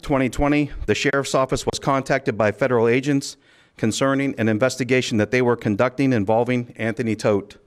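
A man speaking as a sheriff at a press conference, delivering a formal statement in a measured, official, occasionally halting way. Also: medium pitch. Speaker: county sheriff.

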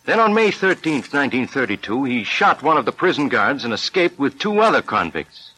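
A man's voice: mid-range voice, very animated, a little fast, bright, forceful tone, slight background noise, poor audio. A man playing a formal police superintendent recounting cases in a 1940s radio drama, on a vintage recording. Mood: formal, emphatic. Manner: formal narrating delivery.

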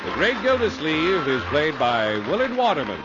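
A man's voice: fairly high pitch, very animated, moderate pace, a loud crowd. An American man announcing ads and credits in 1950s radio style, with a polished, emphatic sales delivery. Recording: dull vintage radio recording. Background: music.

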